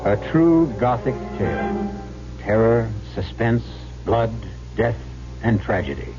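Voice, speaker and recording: A man's voice: lowish voice, expressive. Theatrical radio horror host, man, over music. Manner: measured, dramatic delivery. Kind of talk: theatrical 1970s radio horror-anthology hosting. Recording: dull old radio recording.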